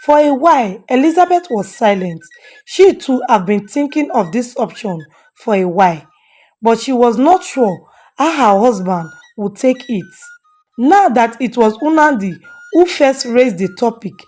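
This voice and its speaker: A woman's voice: on the high side, animated, measured pace, darkish tone. A woman storyteller giving a scripted narration of a folktale. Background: faint music.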